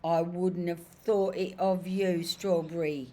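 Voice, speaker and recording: A woman voicing a hearty working-class male cab driver with rough, ungrammatical speech. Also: medium pitch, moderate pace, a little mellow, slight background noise, lightly acted. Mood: indignant.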